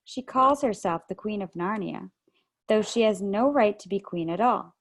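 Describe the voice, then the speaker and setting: A woman reading aloud over a video call, voicing an eager young girl who gushes breathlessly. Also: mid-range voice. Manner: breathless, gushing.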